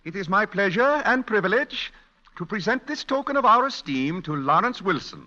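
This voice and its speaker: Bright-voiced, prim, pompous stuffed shirt. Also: male voice, high-pitched, very animated, normal speed, big-room sound, full character voice. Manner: fussy, throat-clearing, stammering. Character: prim, pompous, teetotal small-town committee man. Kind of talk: 1940s radio comedy acting. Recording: dull, lo-fi vintage radio recording.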